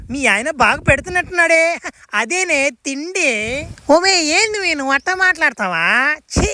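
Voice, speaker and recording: A woman voicing a domineering, sharp-tongued old village woman in a comic cartoon, with a rural Telugu dialect and a coarse, mocking manner. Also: high voice, no room sound. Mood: scolding, suspicious.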